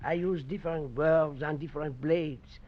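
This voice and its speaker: A man's voice: on the high side, fairly even intonation, moderate pace, lightly acted. An actor playing a small, wrinkled old French knife maker, serene, fatherly and cryptic, in 1940s radio drama style. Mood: knowing, cryptic. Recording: muffled old radio recording.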